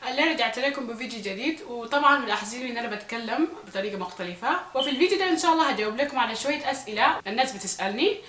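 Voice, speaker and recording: A young Sudanese woman vlogging casually to her viewers, speaking with a Sudanese Arabic accent with some Egyptian traces and a slightly hoarse voice, often repeating phrases for emphasis. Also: fairly high pitch, expressive, rapid-fire, hardly any pauses, fairly bright tone, roomy sound, slight background noise. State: slightly hoarse from recent illness. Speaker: YouTuber. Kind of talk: casual vlog talk to viewers.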